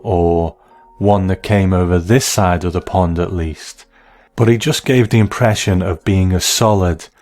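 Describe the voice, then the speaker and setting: A man giving measured, analytical commentary as the host of a review of classic television. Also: deep voice, darkish tone.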